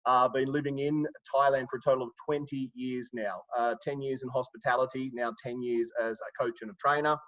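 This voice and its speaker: A middle-aged man from Sydney with an Australian accent, coaching a live online audience. He talks in an upbeat, encouraging way, filling pauses with uhs and restarting phrases. His voice sits at a medium pitch and comes through a slightly muffled, lo-fi line. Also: steady tone, a bit bright. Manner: filler-heavy, restarts often, upbeat and encouraging. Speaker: coach hosting a webinar. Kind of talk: live webinar talk.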